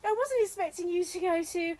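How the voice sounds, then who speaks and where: A woman's voice: very high-pitched, a little fast, a little mellow, a little hiss or hum. A woman playing a teasing, theatrical, gossipy British tennis club member in an amateur radio comedy. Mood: mocking, amused.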